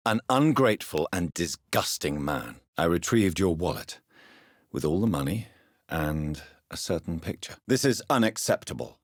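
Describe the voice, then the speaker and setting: A man playing an earnest, stern gentleman doctor of early twentieth-century England, secretly a vampire, in a video game, with formal, measured, intense diction. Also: sits low, lively intonation, no room sound, clean studio sound. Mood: stern, indignant. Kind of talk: dramatic video game voice acting.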